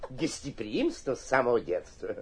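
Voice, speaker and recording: An actor playing an obsequious, cunning provincial mayor in a theatrical radio play, flattering and slyly suspicious, with a husky voice. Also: male voice, mid-range voice, normal speed, slight background noise. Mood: gushing, humble. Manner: fawning flattery with muttered suspicious asides. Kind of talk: theatrical radio drama acting.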